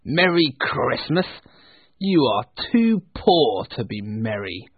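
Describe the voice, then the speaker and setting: A man voicing a mean, cold old miser in a storybook, gruff, curt and scornful. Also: medium pitch, sing-song, takes it slowly, dry recording, full character voice. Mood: sour, dismissive. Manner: curt, barking, dismissive. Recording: thin, phone-like narrow-band sound.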